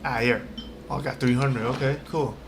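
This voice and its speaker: A man's voice: mid-range voice, steady tone, normal speed, noisy background. A man chatting casually on a gaming livestream, rambling and slangy as he talks to himself. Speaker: live streamer. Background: game music.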